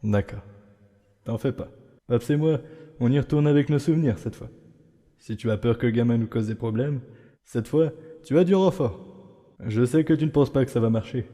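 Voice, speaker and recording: Man voicing a very mellow, weary skeleton. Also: mid-range voice, normal speed, long pauses, lightly acted. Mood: wary, resolute. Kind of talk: fan comic dub acting. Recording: long hall reverb, music underneath.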